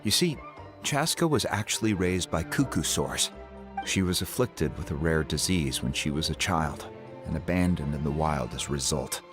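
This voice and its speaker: Gruff game chief, deep voice, animated intonation, avuncular storyteller, music behind. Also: male voice, normal speed. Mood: explaining. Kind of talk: video game voice acting.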